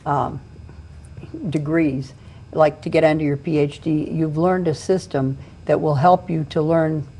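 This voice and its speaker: Low, gravelly-voiced woman host. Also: steady tone, measured pace, dark tone. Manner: curious, asks short questions. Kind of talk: interviewing.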